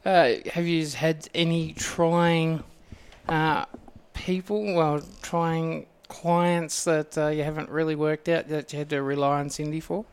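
An Australian man hosting a casual radio interview in a rambling, halting way. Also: on the high side, flat delivery, a little hiss or hum. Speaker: community radio host. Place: radio studio.

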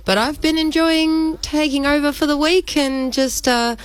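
A woman's voice: high voice, unhurried. A woman, a radio presenter chatting cheerfully and enthusiastically as a studio guest. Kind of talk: casual radio chat.

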